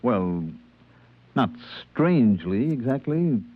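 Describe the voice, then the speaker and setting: A very thin, tinny vintage radio recording of an affable American spaceship purser. He speaks in a plain, modest, slightly hesitant way, with lively rises and falls. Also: mid-range voice, slow pace, slight background noise. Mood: cautious. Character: affable, plain-spoken, trusting male spaceship purser narrating. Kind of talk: 1950s American radio drama narration and dialogue. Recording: thin, band-limited old radio recording.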